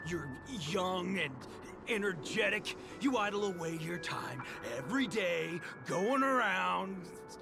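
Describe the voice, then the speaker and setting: Casting: middle-aged male construction guard, hot-tempered, resentful, very animated delivery, game music underneath. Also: mid-range voice, a little slow, gravelly. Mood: angry, scolding. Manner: blustering, scolding, theatrical. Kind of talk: video game voice acting.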